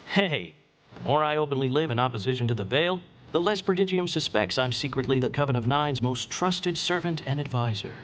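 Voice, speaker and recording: A man's voice: medium pitch, expressive, fairly quick, slight background noise, natural voice. A man playing a roguish, irreverent alien senator in a video game, talking casually with teasing, lewd confidence.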